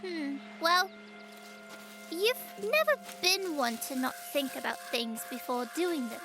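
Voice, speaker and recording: A woman's voice: high voice, animated, takes it slowly, music in the background, exaggerated voice. A woman playing a fearless, optimistic seven-year-old girl in an audio drama, cheeky and matter-of-fact. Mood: upbeat, confident.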